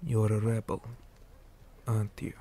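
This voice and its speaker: Guy doing a tired orc commander for asmr roleplay, mellow dark voice, pretty flat, hushed n deliberate, stern soldier type. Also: lowish voice, slight background noise, close to an everyday voice. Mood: calm, probing. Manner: slow, deliberate, hushed, often dropping to a whisper. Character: weary male orc commander, stern, measured, dutiful soldier. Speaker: interrogator in a roleplay.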